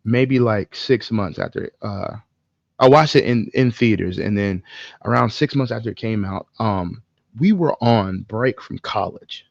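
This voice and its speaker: Male co-host, gravelly voice, foul-mouthed casual storyteller, dry but slightly muffled sound. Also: fairly low pitch, measured pace, no echo. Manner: animated, profane, slangy. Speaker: podcast co-host.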